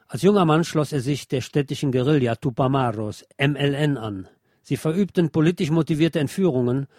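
Man reading measured narration, dry close sound. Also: medium pitch, darkish tone, no echo. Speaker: radio host. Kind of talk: read-aloud scripted obituary narration.

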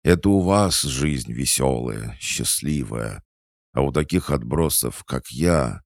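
A man acts out a drunken old storybook tomcat who fancies himself a great artist. He is theatrical and full of self-pity, drawling plaintively with a gravelly edge in a very dry recording. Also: very deep voice, animated, slow, no room sound, full character voice.

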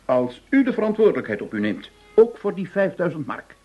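An actor in a Dutch radio play playing a stiff, indignant, penny-pinching government finance official with a pompous, prim way of speaking. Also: male voice, sits high, sing-song, a little fast, darkish tone, faint music behind. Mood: stern, grudging. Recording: dull, muffled radio drama recording.

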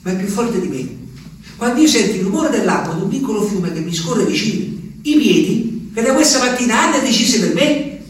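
An actor playing a rustic, agitated peasant in a halting, repetitive stage monologue. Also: male voice, high voice, big pitch swings. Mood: weary, quiet. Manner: halting, repetitive, volatile between murmurs and shouts. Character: rustic, agitated Abruzzese peasant man recounting his journey. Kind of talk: theatrical dramatic monologue. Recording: very echoey distant stage recording, faint noise.

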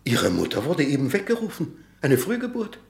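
A fussy small-town pharmacist in a radio play. His voice sits a little high and swings freely in pitch. He rambles mournfully about the past and repeats his phrases. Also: wildly swooping pitch, normal speed, creaky, full character voice. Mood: sorrowful, insistent. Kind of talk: German radio drama acting.

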